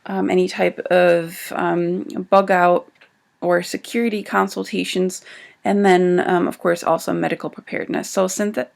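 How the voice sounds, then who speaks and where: A woman's voice: mid-range voice, gravelly. A woman doctor who is getting over a cold gives an informal talk on survival medicine, with frequent ums as she explains. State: recovering from a cold. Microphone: livestream setup.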